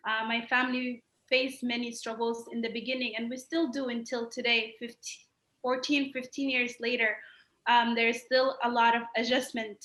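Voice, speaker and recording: Bright, echoey woman from Sudan. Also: a little high, level tone, moderate pace. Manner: earnest, many uh fillers. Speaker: interview guest, human rights activist. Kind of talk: personal story in an interview. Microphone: video call.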